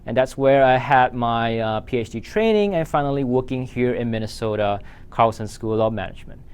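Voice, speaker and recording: A man's voice: medium pitch, fairly quick, darkish tone, smooth. Singaporean-accented man lecturing as an instructor. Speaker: university instructor. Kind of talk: scripted course lecture.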